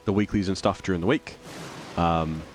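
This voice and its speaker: Croaky low-voiced man streaming a game. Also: fairly even intonation, moderate pace, a little hiss or hum. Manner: rambling, reads out chat and greets viewers. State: hoarse from the flu. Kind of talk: casual gameplay stream chat.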